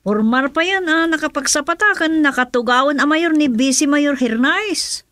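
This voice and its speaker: A polite, chatty household helper in a radio drama. She speaks deferentially in a very high voice, recorded dry and close. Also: lively intonation, moderate pace, a bit bright, dry recording. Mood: polite, earnest. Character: deferential female household employee reporting to her madam. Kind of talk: Ilocano radio drama acting.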